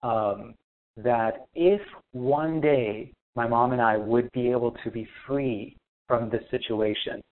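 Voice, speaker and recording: A man from Lebanon, a spiritual teacher guest, answering in a soft, reflective, hesitant way. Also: medium pitch, slow. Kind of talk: interview answers. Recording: thin phone-like line.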